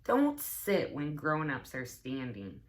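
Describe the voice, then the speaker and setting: Slow, low-voiced woman reading to children. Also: huge pitch swings. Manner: simple, instructive phrasing aimed at young children. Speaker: teaching assistant reading story time. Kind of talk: children's storybook read-aloud with explanations to kids.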